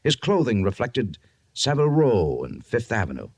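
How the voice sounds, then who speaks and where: A man narrating an adventure serial in a grand, measured old-time radio storytelling style. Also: mid-range voice, huge pitch swings, slightly rough, exaggerated voice. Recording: noisy old radio recording.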